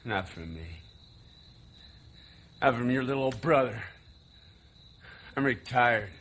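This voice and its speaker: An actor playing a taunting, bitter younger brother in a stage play, with a sarcastic, theatrical delivery. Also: male voice, medium pitch, expressive, slow pace, a bit bright, slight background noise. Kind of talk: live stage play acting.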